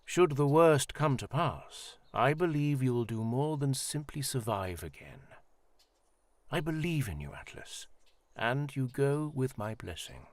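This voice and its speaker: A man playing an elderly high priest in a fantasy audio drama, kindly and solemn, speaking in a formal, measured, reassuring way. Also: expressive, no echo, natural voice.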